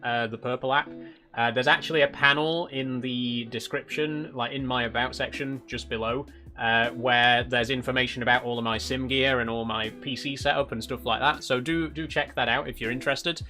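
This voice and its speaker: Bright-voiced British man livestreaming. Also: mid-range voice, steady tone, normal speed. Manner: chatty, polite, appreciative. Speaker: live streamer. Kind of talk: casual livestream chat with viewers. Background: background guitar music.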